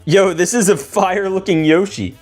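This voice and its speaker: A man giving excitable, slangy, playful commentary as he builds toys for a video. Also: high voice, moderate pace, over music. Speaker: toy-building video host.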